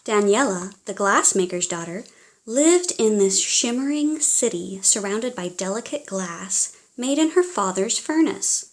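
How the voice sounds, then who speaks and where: A woman reading a children's storybook aloud in a theatrical, expressive way, as if speaking directly to young listeners. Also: medium pitch, a little slow. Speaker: children's audiobook reader.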